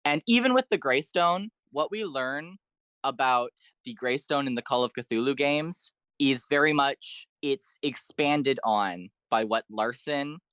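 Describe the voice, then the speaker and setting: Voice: mid-range voice, measured pace, a bit bright, no echo. Person explaining fan lore, dry close sound. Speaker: guest on a fan podcast. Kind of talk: enthusiastic fan lore discussion.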